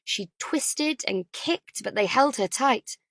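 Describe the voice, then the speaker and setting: A woman's voice: a little high, expressive, takes it slowly, fairly bright tone, no echo, studio quality. A woman reading scripted thriller narration as an audiobook narrator.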